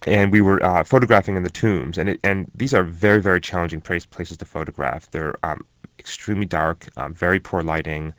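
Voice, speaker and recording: A man answering interview questions in a relaxed, thoughtful way, with frequent ums and restarts. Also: low voice, a little fast, no room sound. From born in Germany to Egyptian parents. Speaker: interview guest, researcher.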